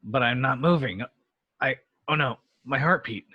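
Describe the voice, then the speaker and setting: A man's voice: mid-range voice, normal speed, breathy edge, no room sound, muffled recording, close to an everyday voice. A man playing a brash, commanding heroic leading man in a table read, urgent and earnest in his delivery. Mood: alarmed.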